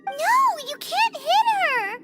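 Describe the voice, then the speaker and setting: A woman voicing a tiny, chirpy, protective fairy companion in a video game, with a squeaky childlike voice. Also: very animated, slow, loud music behind the voice, full character voice. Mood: alarmed. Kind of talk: cartoonish video game dub acting.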